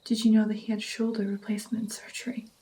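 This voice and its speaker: A woman doing whispered ASMR roleplay as a gentle, caring vampire mentor, with an intimate, breathy delivery. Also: mid-range voice, little pitch movement, fast talker, rough recording.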